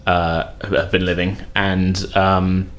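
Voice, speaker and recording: A man's voice: low-pitched, level tone, slow pace, fairly bright tone. A man playing a tabletop role-playing game, telling his character's story casually in a hesitant, rambling way.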